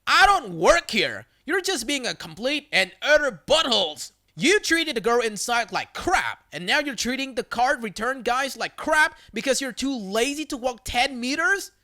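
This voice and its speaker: A man's voice: high-pitched, huge pitch swings, measured pace, few pauses, pushed and bright, full character voice. A man voicing an indignant female customer in a narrated story, telling someone off with scolding emphasis. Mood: livid, scolding. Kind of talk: acted quote in a narrated story.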